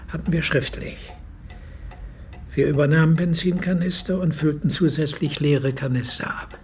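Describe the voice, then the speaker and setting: Elderly ex-medic, gravelly voice, formal measured recollection, solemn, precise, radio play monologue, faint noise behind. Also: male voice, medium pitch. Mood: measured, recollecting. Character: 86-year-old former army medic, solemn precise eyewitness.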